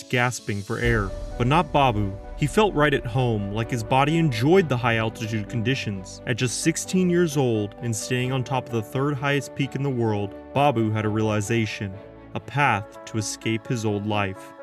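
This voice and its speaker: Male narrator, mid-pitched, earnest dramatic read, clear music bed behind. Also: normal speed. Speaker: documentary narrator. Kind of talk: scripted narration.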